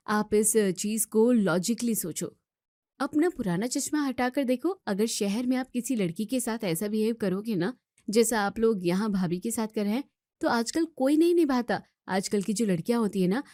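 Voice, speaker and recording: A woman's voice: on the high side, mellow, no room sound, studio quality, close to an everyday voice. A woman playing an outspoken young student in a family drama, scolding and arguing with emphatic questions. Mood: firm, warning. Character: outspoken young student daughter defending her sister-in-law. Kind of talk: Hindi family drama voice acting.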